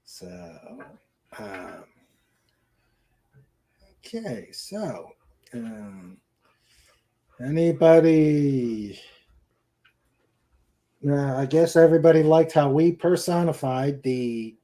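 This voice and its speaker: A man hosts a relaxed live chat in a soft-edged, mellow voice. He speaks slowly and hesitantly, rambling and repeating himself as he reads out comments from viewers. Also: on the high side. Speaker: show host. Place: at home in a room with a couch.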